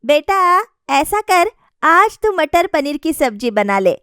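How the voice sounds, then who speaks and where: A stern older mother-in-law played by a woman storyteller, high in pitch and recorded dry. She nags and questions with open displeasure, in the manner of family storybook drama. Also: big pitch swings, slow, fairly bright tone, dry recording, exaggerated voice. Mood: instructing. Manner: scolding, questioning. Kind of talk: acted dialogue in a Hindi family story.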